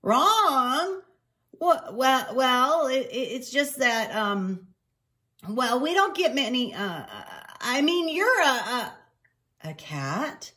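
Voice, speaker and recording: A woman's voice: mid-range voice, very animated, takes it slowly, a bit bright, voice pushed up. A woman voicing an elderly male beagle innkeeper in a storybook, flustered and fussy, with a hesitant, stammering delivery.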